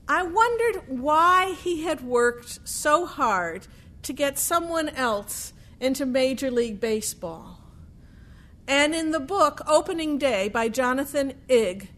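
A woman storyteller with a high voice tells a true story. She goes very slowly and deliberately, using dramatic pauses and repeated phrases. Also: fairly bright tone.

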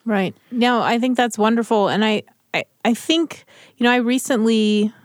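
A woman's voice: fairly high pitch, moderate pace, no echo, studio quality. A woman talking casually and candidly as a panelist on a radio show. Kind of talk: casual round-table chat.

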